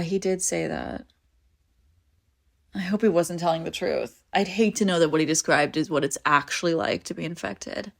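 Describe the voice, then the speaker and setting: A woman's voice: lowish voice, gravelly. A woman playing a clingy, devoted young female friend in a zombie apocalypse audio roleplay, with an emotional, intimate delivery. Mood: quiet, uneasy. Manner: emotional, pleading, swinging between insistent and hushed. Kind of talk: scripted audio roleplay acting, intimate and conversational.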